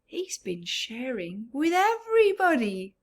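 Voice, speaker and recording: A woman reading a children's storybook aloud expressively, with chatty asides and often hushed tones. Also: sits high, huge pitch swings, slow. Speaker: storyteller, teacher-like reader.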